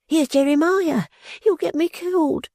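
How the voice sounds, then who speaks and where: A man's voice: very high-pitched, a little slow, darkish tone, no echo, studio quality, voice pushed up. A man reading an audiobook, voicing a frightened, superstitious servant woman with rustic, ungrammatical speech. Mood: anxious. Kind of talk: audiobook character voice.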